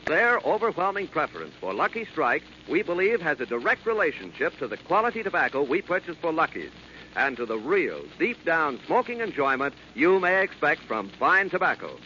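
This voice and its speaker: A man's voice: fairly high pitch, big pitch swings, normal speed, sharp tone. A man reading a scripted 1940s radio commercial in an emphatic, formal, salesmanlike way. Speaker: commercial announcer. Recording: muffled old radio with faint noise.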